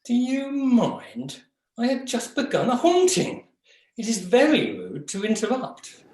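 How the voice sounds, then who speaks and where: A man's voice: extremely high pitch, huge pitch swings, measured pace, a little mellow, heavily acted. A man acting the haughty, peevish ghost of an aristocratic baronet in a pantomime. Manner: pompous, haughty. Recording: echoey video-call audio.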